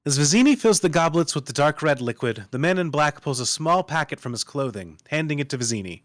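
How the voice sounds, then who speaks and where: A man's voice: fairly high pitch, expressive, fairly quick, no room sound, studio quality. A man working as narrator, reading out scripted stage directions for a screenplay table read.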